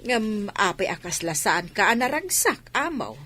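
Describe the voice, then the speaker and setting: A woman's voice: medium pitch, big pitch swings, a little slow, sharp tone, full character voice. A woman playing a chatty, sympathetic household helper in a radio drama, with an Ilocano Filipino accent.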